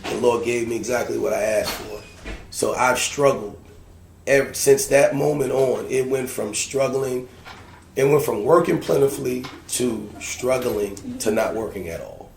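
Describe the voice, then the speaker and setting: A man with a mid-pitched voice shares a candid personal story as a guest in conversation. He leans on repeated phrases for emphasis. The room is echoey, with some background noise. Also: moderate pace, vocal fry, a little mellow. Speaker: podcast guest.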